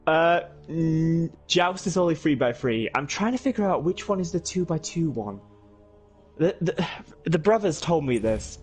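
A man's voice: on the high side, lively intonation, unhurried, darkish tone, soft background music. A young man with a Yorkshire accent, chatting while playing an online game, flustered and repeating himself. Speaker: gaming co-host. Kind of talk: casual co-op gameplay chat.

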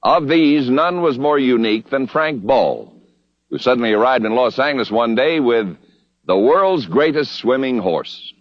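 A man's voice: mid-range voice, expressive, moderate pace, dull audio. A man reading scripted narration as the host of a TV Western series, on a vintage soundtrack.

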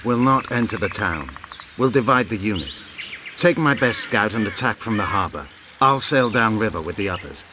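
An actor playing a proud, bitter elven rebel commander in a fantasy game, grave and declamatory. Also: male voice, fairly low pitch, lively intonation, moderate pace, noisy background, natural voice. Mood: commanding. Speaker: video game character actor. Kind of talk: game dialogue acting.

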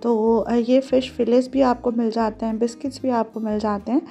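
A woman's voice: on the high side, flat delivery, a little fast, dark tone, soft background music. A woman giving conversational recipe instructions as a home cooking host, mixing English words and phrases into her speech.

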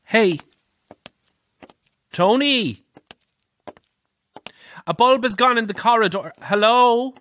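An actor playing a haughty, jumpy older Irish pantomime star in an audio drama, theatrical and imperious. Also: male voice, very high-pitched, takes it slowly, pauses often, a bit bright, full character voice. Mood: frightened, indignant. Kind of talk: audio horror-comedy drama acting.

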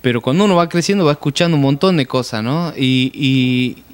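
A man, a folk musician chatting relaxed and playful in a casual interview. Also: medium pitch, brisk, clear, resonant tone. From Jujuy, Argentina. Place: small room, indoors, seated on couch. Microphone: handheld mic held close.

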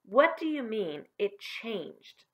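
Woman as a female storybook schoolteacher, stern, measured, admonishing, concerned. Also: medium pitch, sing-song, fairly bright tone. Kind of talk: children's storybook read-aloud.

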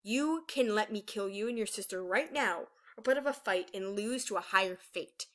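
A woman's voice: mid-range voice, animated, moderate pace, lightly acted. Woman acting out an old female dragon villain, cold, gloating, grandiose, taunting, fantasy storybook. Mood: cold, threatening.

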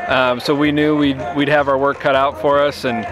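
A man in his thirties, a head baseball coach, giving a postgame interview outdoors at a ballfield at night, measured and full of you-knows. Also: mid-range voice, flat delivery, crowd noise.

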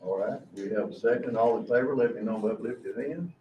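A man's voice: lowish voice, a little fast. Older male board chair, formal procedure, heard through a dull, echoing room pickup. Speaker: board chair running a meeting. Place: large meeting room, indoors. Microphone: distant room microphone. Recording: dull, roomy, distant mic, faint noise.

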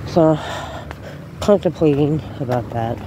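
Woman vlogger, stuffy nose from a cold, very slow unhurried talk, loud outdoor noise behind, chatty and rambling. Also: low-pitched. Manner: chatty, laughing, rambling storyteller. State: congested from a cold. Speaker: beauty vlogger. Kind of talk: casual walking vlog chat. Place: outdoors on sidewalks, walking. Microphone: handheld phone camera. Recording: noisy outdoor phone recording.

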